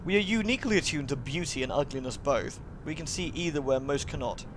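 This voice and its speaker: A man voicing a refined, courteous vampire woman in a visual novel, measured and eloquent. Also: fairly high pitch, expressive, background noise, natural voice. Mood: explanatory.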